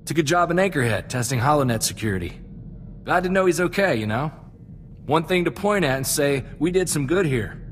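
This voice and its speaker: A man's voice: fairly high pitch, expressive, brisk, a little hiss or hum, natural voice. A man playing an earnest, loyal young sidekick in a space-adventure game, talking in a plainspoken, folksy, reflective way. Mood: troubled, reflective. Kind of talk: video game cutscene dialogue.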